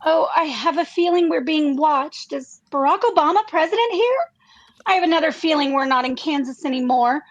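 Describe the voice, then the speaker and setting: A very high, girlish voice as an anxious, wide-eyed farm girl in a radio play. She is earnest and breathless, stumbling and repeating her words. The recording is dull and muffled. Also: animated. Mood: bewildered. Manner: breathless, halting, repeating phrases. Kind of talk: comedic radio play acting.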